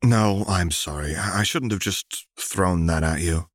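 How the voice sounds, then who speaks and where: A man's voice: low voice, measured pace, no echo, studio quality. A man voicing a frustrated teenage alien girl initiate in a fan fiction reading, earnest and pleading.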